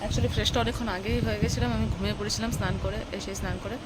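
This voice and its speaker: A woman's voice: mid-range voice, fairly even intonation, very fast. A young woman with a West Bengali accent, chatting casually to viewers as she narrates her daily vlog. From West Bengal, India. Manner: chatty, addresses viewers as friends. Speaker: vlogger. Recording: noisy outdoor traffic and rain ambience.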